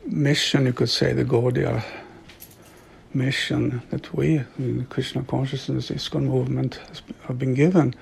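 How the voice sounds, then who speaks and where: A middle-aged man from Sweden, an author and devotee, talking reflectively in a slow, halting way with frequent soft laughs. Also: mid-range voice, level tone, vocal fry, breathy edge. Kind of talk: reflective monologue.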